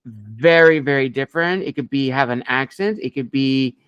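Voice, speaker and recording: A man hosting an interview with a narrator, affirming and measured as he asks questions. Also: mid-range voice, a bit bright, smooth, dry recording, lo-fi sound. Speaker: podcast host.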